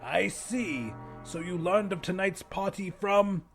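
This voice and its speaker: Audiobook character: male narrator as bookish man in his sixties, courteous, hesitant, slightly high-pitched, faint music. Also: slow, gravelly, a bit bright, exaggerated voice. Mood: politely curious. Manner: formal, hesitant. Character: polite, bookish 62-year-old English professor, bewildered.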